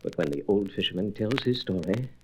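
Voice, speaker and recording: A man's voice: lowish voice, expressive, brisk. A man narrating a story in a dramatic, portentous scripted style. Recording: faintly noisy old record.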